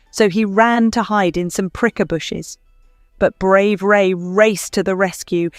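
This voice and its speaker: A woman reading a scripted children's story as narrator, in an expressive storytelling manner. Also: medium pitch, dry recording, clean studio sound. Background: faint music.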